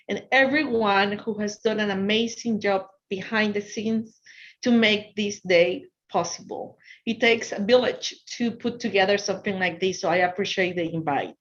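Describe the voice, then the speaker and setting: A woman from Ecuador with an Ecuadorian Spanish accent, a native Spanish speaker, giving an encouraging motivational presentation to students as a guest speaker. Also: medium pitch. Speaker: guest speaker, financial consultant. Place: online video presentation over Zoom. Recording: dull, lo-fi video call audio.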